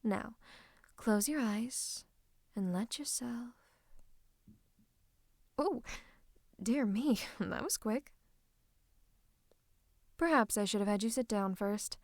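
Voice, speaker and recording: Woman acting a silky, high-pitched villainous royal advisor. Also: takes it slowly, pauses often, a little mellow, no echo, studio quality. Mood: gloating, hypnotic. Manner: smug, mock-courteous, menacing, dropping into whispers. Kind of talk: ASMR roleplay, theatrical monologue to the listener.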